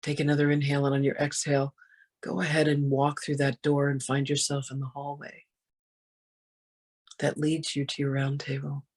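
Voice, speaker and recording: A guided meditation in a soft, breathy voice that stays on an even, narrow pitch. The delivery is gentle and soothing, with unhurried phrases and long pauses between them.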